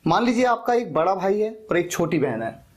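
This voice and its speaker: A man teaching an English lesson for interviews. He dictates example phrases slowly and answers his own questions. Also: sits high, sing-song. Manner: asks questions and answers them himself.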